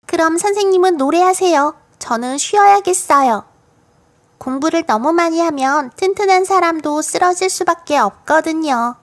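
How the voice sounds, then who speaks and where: A woman's voice: very high-pitched, moderate pace. A woman voicing a cheeky, confident little girl full of fanciful tales in a children's storybook audiobook. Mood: breezy, matter-of-fact. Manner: matter-of-fact, playful tall-tale telling.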